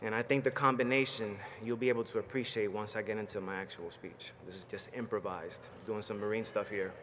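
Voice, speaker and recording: A man in his thirties speaks forcefully into a podium mic. His delivery is fired-up and plainspoken, and his voice rings through a big ceremony hall. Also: on the low side, steady tone, fairly quick. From Puerto Rico. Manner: fired-up, rousing, plainspoken and personal. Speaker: commencement speaker, Marine veteran graduate. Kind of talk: motivational commencement speech. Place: large ceremony hall, from a stage. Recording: long hall reverb, faint background noise.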